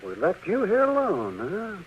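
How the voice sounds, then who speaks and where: An actor playing a nosy, teasing town doctor in a radio western, with a raspy, needling voice. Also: male voice, wildly swooping pitch, unhurried, slight background noise, exaggerated voice. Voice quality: high, raspy. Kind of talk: 1950s radio western acting. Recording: dull old radio recording.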